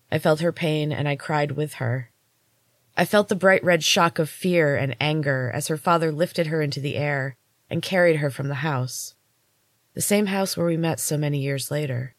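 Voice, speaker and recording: A woman's voice: sits low, no room sound. Woman narrating a story, dry sound. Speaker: podcast host and author reading her novel. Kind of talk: audiobook-style story narration.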